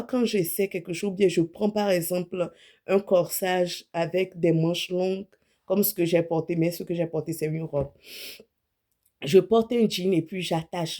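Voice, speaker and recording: A woman's voice: fairly low pitch, warm tone. A woman in her thirties, an image consultant, speaking casually and frankly to camera about what to wear, often correcting herself mid-sentence. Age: 30s.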